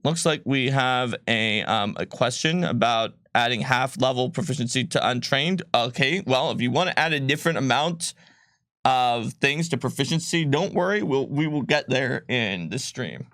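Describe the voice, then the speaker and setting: A man's voice: medium pitch, expressive, normal speed, fairly bright tone, dry recording. Casual rambling man on a dry mic. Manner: loose and rambling, with many ums and self-corrections. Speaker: co-host presenting game rules. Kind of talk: casual livestream chat.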